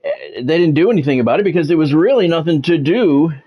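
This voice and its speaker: A man's voice: fairly high pitch, very animated, brisk, slightly rough, dull audio. A man hosting a livestream in a casual way, giving sports news and often stopping to repeat words and phrases. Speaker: livestream host, former radio play-by-play announcer.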